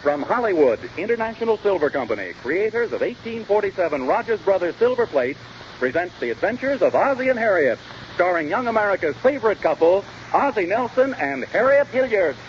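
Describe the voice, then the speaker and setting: A man's voice: high voice, very animated, brisk. A man reading scripted introductions as an announcer in 1940s radio style. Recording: muffled old radio recording, faint hiss.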